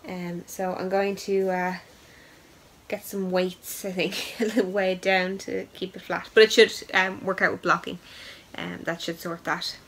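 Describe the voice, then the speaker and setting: A woman's voice: lowish voice, flat delivery, unhurried, fairly bright tone, low-quality recording. A young woman hosts a knitting podcast in a casual, chatty way, full of ums and upbeat asides, and she has a head cold. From Ireland. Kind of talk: casual show-and-tell chat.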